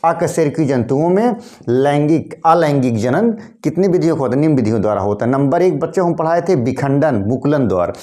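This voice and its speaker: A man teaching a biology lesson to students, hoarse from a cold, repeating his points and often clearing his throat. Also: a little high, lively intonation, fairly quick, dark tone. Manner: repetitive, addresses students as kids, frequent throat clearing. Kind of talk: classroom lesson addressing students.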